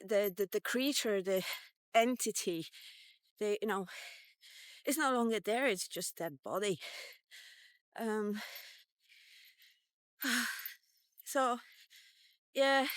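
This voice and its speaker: Slow-talking woman in her fifties, candid and reflective. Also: a little high, clean tone, no room sound. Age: 50s. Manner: candid, reflective, halting, sweary. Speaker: vlogger. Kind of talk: casual walkie-talkie vlog monologue.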